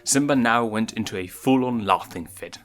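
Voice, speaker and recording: A man with a fairly low voice narrates a written story and acts out its dialogue. Soft music plays behind him. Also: moderate pace. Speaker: fan fiction reader. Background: background music.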